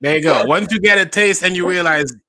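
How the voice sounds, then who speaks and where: A young adult man from Haiti, a stand-up comedian telling his story in a casual interview, with animated emphasis. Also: high-pitched, a little fast, dry recording.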